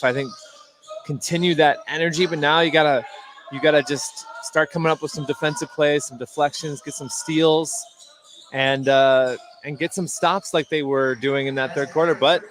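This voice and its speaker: A man's voice: fairly high pitch, a bit bright, a little hiss or hum, rough recording. An American man giving conversational analysis as colour commentator on a live basketball broadcast. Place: indoor basketball gym.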